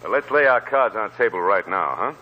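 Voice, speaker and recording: An actor playing a cool, bold stranger who talks directly and shrewdly in a 1940s radio drama. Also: male voice, on the low side, animated, fairly quick, dull audio. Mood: calm, persuasive. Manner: direct, calculating. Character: cool, bold male stranger bargaining with prospectors. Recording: old 1940s radio broadcast.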